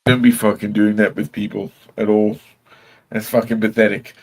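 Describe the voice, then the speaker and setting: A man's voice: lowish voice, level tone, moderate pace, a little mellow. A man in a casual stream chat, indignant and swearing freely.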